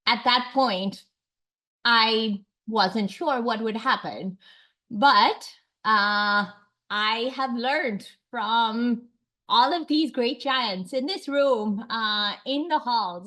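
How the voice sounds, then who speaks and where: A woman's voice: on the high side, a bit bright. A woman from Tanzania speaking earnestly and reflectively at a faculty seminar. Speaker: faculty researcher. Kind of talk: seminar talk. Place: seminar room.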